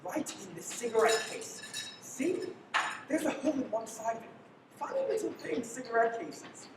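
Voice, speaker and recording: A woman's voice: on the high side, sing-song, normal speed. A young woman playing a wry man on the run in a live radio play, with dramatic delivery. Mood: earnest, wry. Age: young adult. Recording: echoey, noisy livestream, distant mic.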